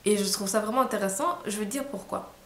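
A woman's voice: medium pitch, fast, a bit bright. A young woman from Rwanda, talking to camera at night, tired and hesitant, with many fillers and restarts. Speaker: vlogger. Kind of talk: casual reflective monologue to camera. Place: small room indoors. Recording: roomy echo, faint hiss.

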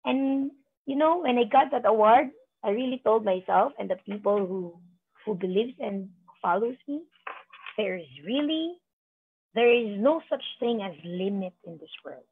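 Woman in her thirties, motivational coach, husky voice, dry tinny call line. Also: on the high side, lively intonation, normal speed, dry recording. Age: 30s. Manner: rousing, repetitive, preacher-like. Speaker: business coach. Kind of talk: motivational speech on a video call. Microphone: video call.

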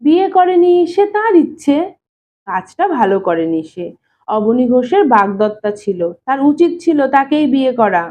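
A woman's voice: high voice, very animated, unhurried, a little mellow, a little hiss or hum. Woman voicing a defensive, evasive young bachelor. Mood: evasive, protesting. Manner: evasive, protesting, deferential. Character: defensive, reluctant younger bachelor man, evasive but respectful. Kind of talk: audio story dialogue reading.

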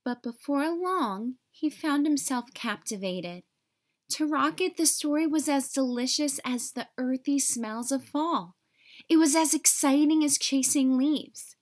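Lady reading a kids book out loud, high voice, slow n careful, expressive. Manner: careful, clearly phrased for young listeners. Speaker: read-aloud narrator for young children. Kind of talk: expressive storybook narration.

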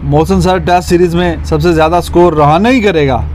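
A man's voice: a little high, fairly even intonation, brisk, a little mellow. A man with a Pakistani accent hosting a casual cricket chat and reading out viewer comments. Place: outdoors at a table in a park. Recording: faint outdoor background noise.

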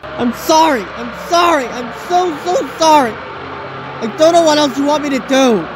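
A woman's voice: high voice, unhurried, background noise. A woman playing a tormented, vulnerable person in an audio drama, pleading and emotional. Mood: anguished pleading.

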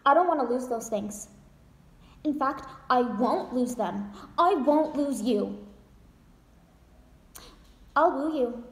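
Wounded, defiantly joking wife played by a woman: high voice, emphatic stage-monologue delivery, roomy echo. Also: animated, takes it slowly, long pauses, exaggerated voice. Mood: pleading, determined. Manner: emphatic, theatrical, addressing her husband directly. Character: desperate, wounded wife fighting her divorce, defiantly joking. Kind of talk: dramatic theatrical monologue. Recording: echoey untreated room.

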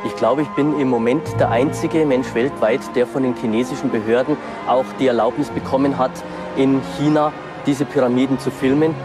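A man gives a documentary interview in a mid-pitched voice. Music plays clearly behind him. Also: steady tone, fairly quick. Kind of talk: interview talk. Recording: voice over background music.

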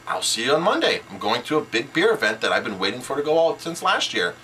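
A man's voice: medium pitch, big pitch swings, quick pace, bright, forceful tone, slight background noise, low-quality recording. A middle-aged man giving informal, instructional business advice as a restaurant owner, making his points emphatically in short, repeated sentences. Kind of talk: informal instructional talk to camera.

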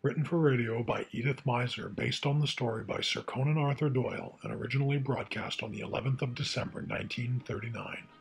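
Gravelly male radio announcer. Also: medium pitch, brisk, few pauses, low-quality recording. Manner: formal, presentational. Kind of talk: scripted radio introduction.

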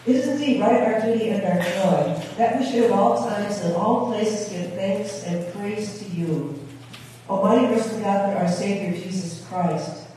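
Woman pastor, formal liturgical reading, mellow, far-off mic in a big echoey church. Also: mid-range voice, normal speed. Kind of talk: liturgy at a communion service. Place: church sanctuary with brick wall, indoors. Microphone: far camera at the back of the chancel.

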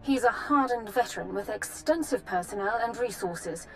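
A woman's voice: fairly high pitch, expressive, normal speed, poor audio. An actress voicing a precise, analytical female Republic officer giving a briefing in a video game. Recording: game audio with music score.

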